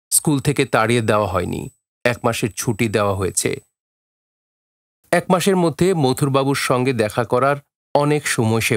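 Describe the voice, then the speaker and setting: Measured male storyteller over faint music. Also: medium pitch, dry recording. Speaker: narrator. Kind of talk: scripted literary narration for audio drama.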